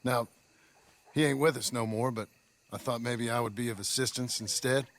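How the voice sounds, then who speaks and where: An actor playing a rough, laconic outlaw of the old West in a video game, with an American accent and a drawling, sardonic manner. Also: male voice, medium pitch, fairly even intonation, moderate pace, slight background noise, lightly acted. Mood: polite, awkward. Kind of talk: video game cutscene acting.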